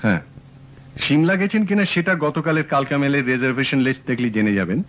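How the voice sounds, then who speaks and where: An actor playing a sharp young male private detective in a radio drama, asking brisk, probing questions. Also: mid-range voice, sing-song. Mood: calm, probing. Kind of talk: 1970s radio drama acting. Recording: dull old radio broadcast.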